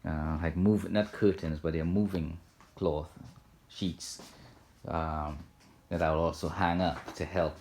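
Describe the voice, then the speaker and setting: A man giving a casual, unscripted home video walkthrough, talking haltingly with frequent ums and self-corrections. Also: a bass voice, a little hiss or hum. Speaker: home theater vlogger. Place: home theater room indoors.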